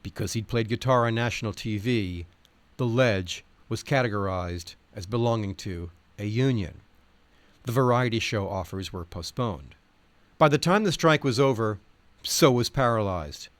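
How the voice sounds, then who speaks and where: A man's voice: fairly low pitch, lively intonation, moderate pace, no room sound. A man reading nonfiction aloud as a narrator, in an articulate, wry, wordy style.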